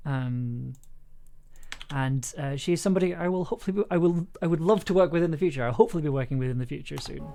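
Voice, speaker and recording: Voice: medium pitch, fast, vocal fry, dark tone, slight background noise. An enthusiastic audio producer chatting casually and effusively on a livestream while editing. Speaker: audio drama producer and streamer.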